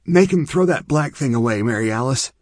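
A man doing a scared young girl, pitched high. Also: animated, a little fast, a little mellow, dry recording, natural voice. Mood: pleading. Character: frightened teenage girl caught in a crime.

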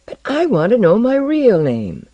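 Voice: medium pitch, huge pitch swings, measured pace, dry recording, highs cut off, pitched above the performer's own voice. A reader voicing an anxious but proud male character who questions everything earnestly. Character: anxious, proud, searching male blank character seeking identity.

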